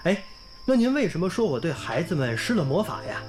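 An actor playing an earnest, idealistic young volunteer teacher in a radio drama, polite and sincere in manner. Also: male voice, sits high, very animated, fairly quick. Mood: polite, cheerful. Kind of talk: radio drama acting and narration. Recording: music bed under the voice.

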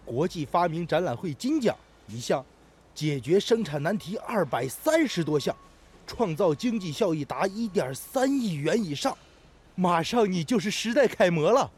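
An actor playing an eager, admiring young apprentice steel worker in a radio drama, earnest and excitable. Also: male voice, sits high, normal speed, husky, exaggerated voice. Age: young adult.

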